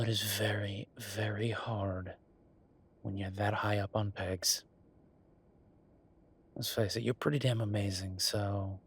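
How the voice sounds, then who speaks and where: A man's voice: lowish voice, breathy edge. A man playing an affectionate, teasing werewolf bureaucrat in an intimate romantic audio roleplay, speaking conversationally with murmured asides. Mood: flirtatious, hushed. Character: Viktor, affectionate teasing male werewolf bureaucrat, weary but playful.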